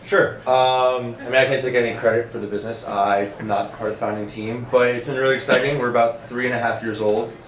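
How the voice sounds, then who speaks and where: A man's voice: medium pitch, fairly even intonation, brisk, hardly any pauses. A man speaking casually and upbeat as a panelist, with many fillers. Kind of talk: panel discussion. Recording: echoey room, faint noise.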